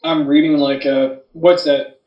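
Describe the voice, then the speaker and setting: A man with a fairly high voice, recorded in a roomy, echoing space. He chats casually as a podcast co-host, stating blunt opinions and swearing freely. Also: little pitch movement, unhurried, lo-fi sound. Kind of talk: casual chat about films and books.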